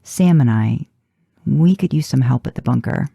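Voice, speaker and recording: A woman voicing a gruff, flirtatious man with a casual, mumbling manner in a story reading. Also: very deep voice, lively intonation, normal speed, mellow, dry recording, lo-fi sound, exaggerated voice. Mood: sheepish, casual. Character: gruff, flirtatious adult man, low-key charmer.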